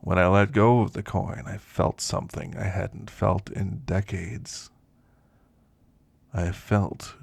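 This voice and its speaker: A man playing a weary, wistful elderly werewolf who confides in slow, reflective ASMR roleplay storytelling, gravelly and husky. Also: low voice, a little mellow, no room sound, exaggerated voice. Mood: wistful, mournful. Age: older.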